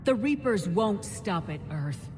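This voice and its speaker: A woman's voice: mid-range voice, big pitch swings, slow pace, a little mellow. An actress playing a resolute female military commander in a game, speaking firmly and earnestly. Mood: urgent, resolute. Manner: firm, earnest. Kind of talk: dramatic game dialogue. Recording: reverberant hall sound, music behind.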